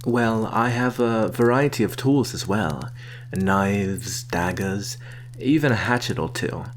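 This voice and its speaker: A man playing a sly vampire posing as a traveling merchant, soft-spoken and coaxing in an ASMR roleplay. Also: on the low side, slight background noise. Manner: soft-spoken, coaxing, deliberate with sighs and soft chuckles.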